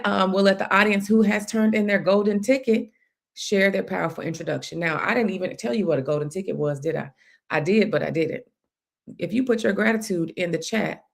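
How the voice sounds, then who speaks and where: A woman hosting an online business livestream in a chatty, lively way, laughing often. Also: lowish voice, creaky. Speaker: livestream show host. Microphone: streaming setup.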